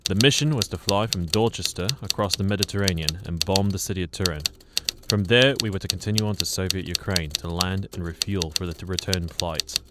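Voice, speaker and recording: Timid English reporter played by a man, deep voice, formal radio-play delivery, heavy background noise. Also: a little fast, natural voice. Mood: solemn narration. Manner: formal, stammering when flustered. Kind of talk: radio drama narration and acting. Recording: very loud noise and typewriter clatter.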